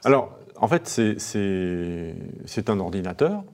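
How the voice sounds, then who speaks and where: A man's voice: lowish voice, sing-song, slow pace, darkish tone. A man hosting a conversational interview, explaining in a chatty way and tacking tag questions onto his remarks. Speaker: host.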